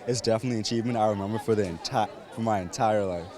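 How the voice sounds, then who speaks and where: A man's voice: fairly low pitch, very fast, a crowd in the background. A man with a Bahamian accent, speaking earnestly and proudly in a street interview. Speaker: event host.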